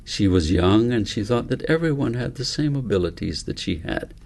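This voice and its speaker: Low, gravelly man reading a story. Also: expressive, normal speed, a little mellow. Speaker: author reading own book. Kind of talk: audiobook story narration.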